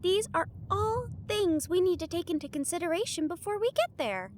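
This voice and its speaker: A woman's voice: extremely high pitch, expressive, moderate pace, a little mellow, a little hiss or hum. A woman playing an earnest, studious, slightly bossy young woman in a scripted audio roleplay, speaking in an organized, teasing, formal way. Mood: earnest, businesslike.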